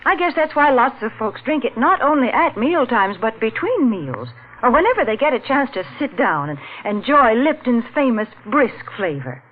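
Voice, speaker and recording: An actress playing a cheerful, chiding homemaker who gives the sponsor's pitch in a 1940s radio style. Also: female voice, very animated, normal speed, few pauses. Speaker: sponsor spokeswoman. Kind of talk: 1940s radio commercial banter. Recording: muffled, noisy vintage radio.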